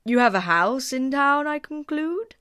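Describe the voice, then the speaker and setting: A woman voicing a gallant, garrulous older gentleman in an audiobook, courtly and effusively flattering. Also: high voice, measured pace, smooth, voice pushed up, exaggerated voice. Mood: genial, chatty. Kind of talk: audiobook character acting.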